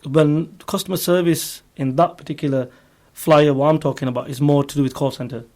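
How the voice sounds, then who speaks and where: A man's voice: a little high, fairly even intonation, fairly quick, a little mellow. A man speaking as a recruiter guest in a radio interview, explaining earnestly and repeating his points. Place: radio studio.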